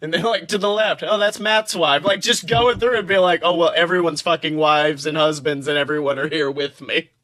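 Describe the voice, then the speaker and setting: A man with a high, bright voice co-hosts a casual comedy podcast. He tells stories in an animated, profane way, slipping into acted-out quotes as he goes. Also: brisk, hardly any pauses. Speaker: podcast co-host.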